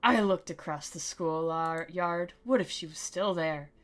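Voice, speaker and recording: A woman's voice: lowish voice, unhurried, soft background music. A woman giving sarcastic commentary while reading a visual novel aloud in a mock-dramatic way. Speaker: game commentator and reader.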